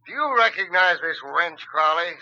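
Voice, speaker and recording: High, hard-edged police interrogator. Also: male voice, expressive, normal speed, bright, forceful tone, slight background noise, full character voice. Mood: aggressive, accusing. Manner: hard, badgering. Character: bullying, accusing male police interrogator. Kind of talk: 1940s radio drama acting. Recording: muffled 1940s radio broadcast.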